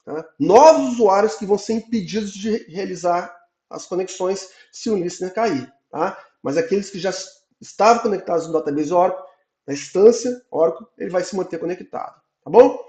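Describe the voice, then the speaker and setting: Hoarse man teaching, echoey room. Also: high-pitched, lively intonation, a little fast, dark tone. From Brazil. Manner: explains in repeated checks, ending phrases with "tá?". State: hoarse from a cold. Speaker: database instructor. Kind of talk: online technical lecture.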